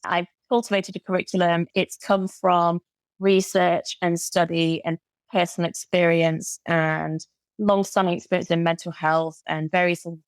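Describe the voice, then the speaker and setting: A British woman with a lowish, gravelly voice talks with lively enthusiasm and often doubles her words for emphasis. The sound is dry and close. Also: no room sound. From Britain. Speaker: guest, burnout recovery coach. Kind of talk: casual interview chat.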